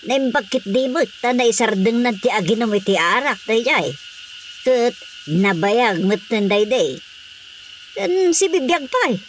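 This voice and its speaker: A woman's voice: on the high side, animated, measured pace. A woman playing an outspoken, nagging but concerned village woman in radio drama comedy, with a northern Philippine accent. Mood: gossipy, concerned. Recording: faint cricket-like background noise.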